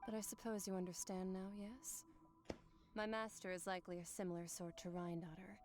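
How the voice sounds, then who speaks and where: A woman's voice: medium pitch, level tone, a little fast, close to an everyday voice. An actress playing a haughty, blunt otherworldly swordswoman in a video game, speaking in a cool, formal, matter-of-fact way. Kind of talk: video game character acting. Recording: game audio with background music.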